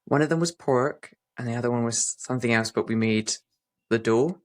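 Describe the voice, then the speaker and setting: A young man from the west coast of Scotland with a light Scottish accent, chatting as a relaxed, modest podcast guest over a video call. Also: medium pitch, brisk. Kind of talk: casual chat.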